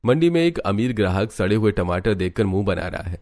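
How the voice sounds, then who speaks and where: A man's voice: lowish voice, sing-song, fairly quick, darkish tone. A man reading scripted narration for a cartoon story in the present tense.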